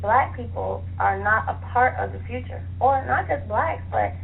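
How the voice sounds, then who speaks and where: A woman with a fairly high voice talks as an interview guest. She is chatty and enthusiastic, speaking conversationally. The sound is thin like a phone call, with noticeable noise on the line. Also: normal speed. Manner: chatty, enthusiastic, laughs easily. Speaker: author being interviewed. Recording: tinny phone line with clear hiss.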